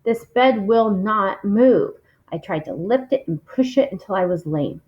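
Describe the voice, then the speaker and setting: Woman doing an expressive read-aloud, medium pitch, kinda muffled lo-fi recording. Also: unhurried. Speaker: reader of a read-along. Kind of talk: expressive storybook reading aloud.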